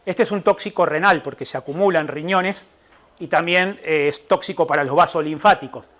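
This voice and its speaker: A man's voice: on the high side, fairly quick, fairly bright tone. A middle-aged man from Argentina with an Argentine Spanish accent, a nutritionist giving an informal talk to a live audience, explaining things step by step and checking in with the listeners.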